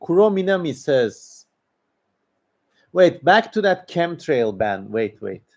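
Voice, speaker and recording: Slow-talking Turkish-accented man, higher-pitched voice. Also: big pitch swings, a little mellow. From Turkey. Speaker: livestream host. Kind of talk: casual livestream commentary answering viewer questions.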